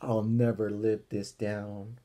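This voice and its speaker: A man reading a storybook, voicing a gruff male camp counselor who barks scornful, drawn-out commands like a drill sergeant. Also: fairly low pitch, level tone, warm tone. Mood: disgusted.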